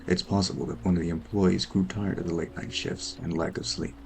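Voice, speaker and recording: A man playing a weary, brooding detective, narrating in a measured film noir style for an audio drama. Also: low voice, flat delivery, creaky, faint music behind. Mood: intrigued, analytical. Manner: brooding, measured first-person monologue. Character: weary, sleepless noir detective brooding over murder cases. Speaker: voice actor narrating an audio roleplay.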